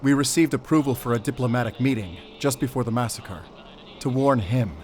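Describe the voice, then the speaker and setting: Male actor as earnest prisoner, mid-pitched voice, insistent, urgent, audio drama, faint vehicle noise. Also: a little fast, darkish tone, natural voice. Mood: guarded, serious. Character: urgent, earnest male captive pleading his case. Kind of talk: cinematic audio drama acting.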